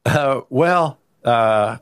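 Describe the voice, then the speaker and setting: A man's voice: medium pitch, drawn-out words, smooth, no echo, clean studio sound. A man born in Italy, speaking as a guest investor in an interview, casual and full of restarts. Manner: casual, frequent restarts and "you know". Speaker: venture capital investor, guest. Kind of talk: conversational interview answers.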